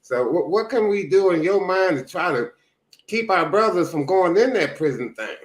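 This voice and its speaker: A man in his sixties hosting a podcast, relaxed, appreciative and conversational in a casual interview. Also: sits high, moderate pace. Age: 60s. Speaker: podcast host. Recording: roomy echo.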